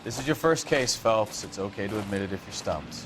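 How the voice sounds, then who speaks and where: An actor playing a sardonic, streetwise veteran American traffic cop in a crime video game. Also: male voice, lowish voice, animated, a little fast, lightly acted. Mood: easygoing. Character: wisecracking, touchy veteran traffic cop, needling his partner. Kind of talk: naturalistic crime game acting.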